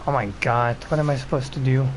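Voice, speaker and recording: A man's voice: mid-range voice, steady tone, fast, slight background noise. A man giving casual gameplay commentary as a streamer, blunt, sweary and self-mocking. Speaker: gaming streamer. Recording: game music behind the voice.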